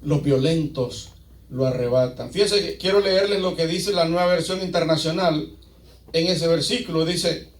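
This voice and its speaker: A man's voice: fairly high pitch, normal speed. A male pastor with a Latin American Spanish accent preaching emphatically to a congregation, repeating phrases and posing rhetorical questions. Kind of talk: sermon to a congregation. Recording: echoey room, picked up from a distance.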